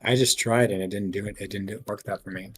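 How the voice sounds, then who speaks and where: A man's voice: lowish voice, fairly even intonation, fast talker, fairly bright tone, slightly rough, dry recording. A man chatting casually at the table as a player in a role-playing game.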